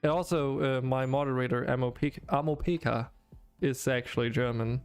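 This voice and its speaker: A man's voice: medium pitch, fairly even intonation, normal speed, clear, resonant tone, faint music behind. A young Danish man chatting to his viewers while streaming a game, rambling with many fillers and restarts. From Denmark. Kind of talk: casual game stream talk to chat.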